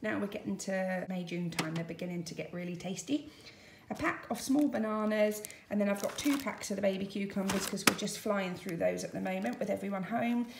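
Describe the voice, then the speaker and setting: Woman vlogger, homely chatty list-style talk, gravelly fry in the voice, recorded in an echoey room with a faint hiss. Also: medium pitch, steady tone, brisk. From United Kingdom. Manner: chatty and homely, lists items. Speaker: vlogger showing a grocery haul. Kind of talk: casual chat to camera. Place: home dining room, indoors.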